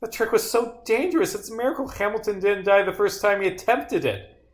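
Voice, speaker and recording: A man's voice: high-pitched, a little fast, voice pushed up, lightly acted. Man voicing an exasperated, blunt police sergeant. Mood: indignant.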